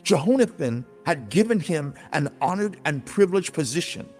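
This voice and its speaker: A man narrates a story in a theatrical, emphatic style, placing his phrases deliberately. His fairly high voice rises and falls dramatically. Music plays beneath the scripted reading. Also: very animated, a little mellow. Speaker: narrator reading own book. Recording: long reverb, music underneath.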